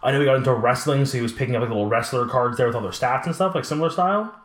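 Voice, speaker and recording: Man, late twenties, rapid-fire casual talker, animated storyteller, echoey room sound. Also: mid-range voice. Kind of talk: casual chat about collectibles. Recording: roomy, echoing room sound.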